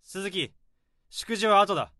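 A man playing a young office worker who calmly unravels a crime in a radio drama. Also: high-pitched, no echo. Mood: accusing. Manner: calm, probing, deliberate.

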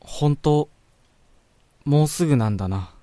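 A man playing a pensive young man who narrates his thoughts in a radio drama, measured and introspective. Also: on the high side, animated, warm tone, no room sound. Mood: reflective, tender. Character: pensive young man quietly reflecting on a childhood friend.

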